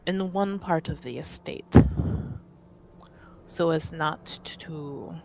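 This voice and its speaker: A woman's voice: deep voice, slow pace, creaky, slight background noise, full character voice, low-quality recording. A woman playing a guarded half-elven noblewoman in a tabletop fantasy game, speaking formally and with reserve.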